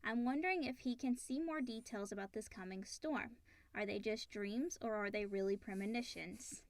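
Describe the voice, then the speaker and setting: A woman with a fairly high voice co-hosts a casual podcast. She sounds conversational, and the recording is dry, with hardly any room sound. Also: normal speed, dry recording. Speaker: podcast co-host reading listener questions.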